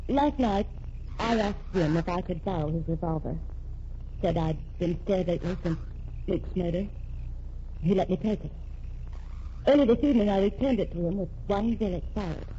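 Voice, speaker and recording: An actress playing a sharp young woman reporter who calmly accuses a killer, in a 1940s radio drama. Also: on the low side, lightly acted. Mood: explaining. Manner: cool, accusing, deliberate. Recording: dull old radio recording with faint hiss.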